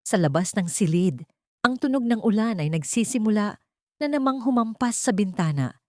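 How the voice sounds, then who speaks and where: A woman's voice: mid-range voice, lively intonation, normal speed, darkish tone, dry recording, studio quality. A woman reading a scripted audio story as a dramatic narrator.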